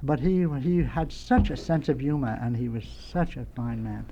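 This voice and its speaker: Older American man reminiscing, rambling and halting. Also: medium pitch, lively intonation, creaky, dark tone, highs cut off, a little hiss or hum. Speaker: radio guest, veteran sound effects man. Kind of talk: nostalgic anecdotal storytelling. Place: radio studio.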